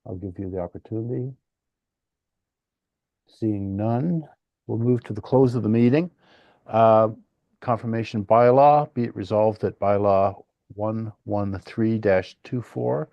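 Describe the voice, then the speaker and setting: Gravelly man chairing a meeting. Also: lowish voice, fairly even intonation, measured pace, dry recording, muffled recording. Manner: procedural, casual asides, reads motions formally. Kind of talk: chairing a formal municipal meeting.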